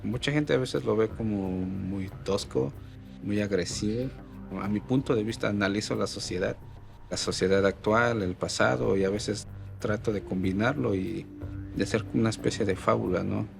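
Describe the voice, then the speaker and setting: Low raspy voiced guy from oaxaca, mixe, thoughtful, lots of "este". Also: normal speed, soft background music. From Oaxaca, Mexico. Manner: reflective, with frequent "este" fillers. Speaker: artist. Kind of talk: interview answers.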